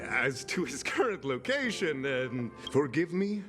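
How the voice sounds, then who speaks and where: A man's voice: medium pitch, very animated, measured pace. A man voicing a vain, theatrical male professor in a video game, with a pompous, flowery delivery. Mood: flustered, pompous. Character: vain, melodramatic male professor, self-styled charming idol. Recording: game music behind the voice.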